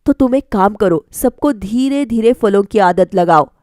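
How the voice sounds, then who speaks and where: A woman's voice: mid-range voice, animated, a little slow, a little mellow, no echo, clean studio sound, pitched below the performer's own voice, close to an everyday voice. A woman voicing a kindly parent of a grown daughter in a family cartoon, giving direct advice. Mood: kindly, advising.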